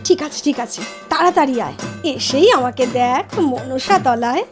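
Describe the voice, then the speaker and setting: Actress for teasing, coaxing woman reuniting with college friends, radio-play style, high girlish voice over prominent music. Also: animated, moderate pace. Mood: teasing, cheerful. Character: playful Bengali woman back from London meeting old college friends. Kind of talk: Bengali audio drama acting.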